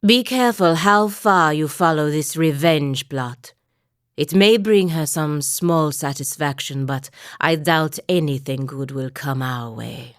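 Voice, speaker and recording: An actress playing a proud, stern, dutiful woman warrior in a fantasy video game, speaking in a formal, wry, measured manner. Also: deep voice, dry recording, clean studio sound. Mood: stern warning. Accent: Valian, a lightly Italian-sounding fantasy accent. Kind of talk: scripted game dialogue, voice lines and barks.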